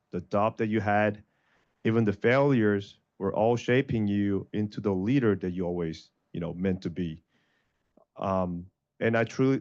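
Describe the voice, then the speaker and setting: Man, 30s, earnest motivational panelist; lowish voice, lo-fi sound. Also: a little mellow. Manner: earnest, motivational, repeats phrases. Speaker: panelist and martial arts instructor. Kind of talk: panel discussion answer.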